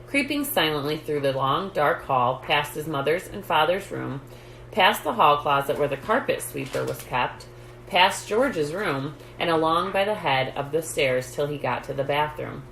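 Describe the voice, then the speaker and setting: A woman with a low, gravelly voice reads a children's story aloud in a measured way. The recording is roomy and echoey, with light noise behind her. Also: animated, a bit bright. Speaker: storytime reader, host. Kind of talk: reading a children's book aloud.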